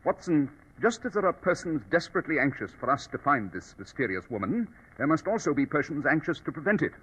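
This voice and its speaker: An actor playing a brilliant, commanding British detective in a theatrical, precise old-time radio drama style. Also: male voice, a little high, very animated, fast talker, fairly bright tone, slightly rough, heavily acted. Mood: confident, deductive. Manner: theatrical, precise, self-assured. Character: brilliant, confident, commanding English male detective. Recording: dull vintage radio recording.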